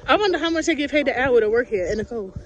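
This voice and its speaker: A woman's voice: sits high, lively intonation, very fast, a crowd in the background. A woman in her twenties with an American accent, chatting casually to a handheld camera as a vlogger. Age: 20s. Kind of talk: casual vlog chat to camera. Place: on location outdoors in snow, in a car and around shops. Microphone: handheld camera or phone at arm's length. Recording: noisy handheld on-location recording.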